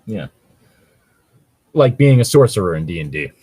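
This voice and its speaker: Man, podcast co-host, lowish pitch, lively intonation, sweary dry complaints, casual. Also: moderate pace, breathy edge, dry recording. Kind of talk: casual chat, reading and mocking fan fiction.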